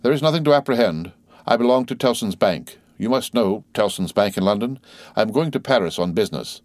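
A man voicing a mild, polite gentleman banker in a classic storybook reading, formal and slightly quavering. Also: on the low side, animated, fairly quick, dry recording, natural voice. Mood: calm, businesslike. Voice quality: mildly quavering. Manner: polite, quietly confident, formal. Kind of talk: dramatised classic novel reading.

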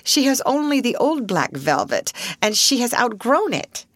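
A woman's voice: big pitch swings, a little slow, dry recording. A woman reader voicing a meek, flustered older woman who stammers and cries. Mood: alarmed stammer. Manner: stammering, high and tearful. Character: timid, flustered older woman, a school-mistress's meek sister.

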